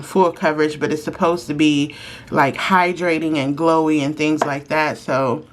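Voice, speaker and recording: A woman beauty vlogger, talking casually through a makeup review, sounding congested and chatty. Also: sits low. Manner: chatty, friendly, slows down while applying makeup.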